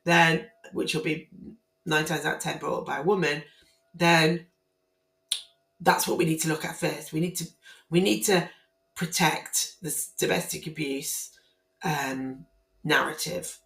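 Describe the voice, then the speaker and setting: A woman with a croaky, hoarse voice, speaking casually and emphatically to her viewers about family court matters, often repeating herself and restarting phrases. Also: low-pitched, steady tone, measured pace, pauses often, fairly bright tone. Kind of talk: casual spoken commentary on guidance to camera. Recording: roomy echo.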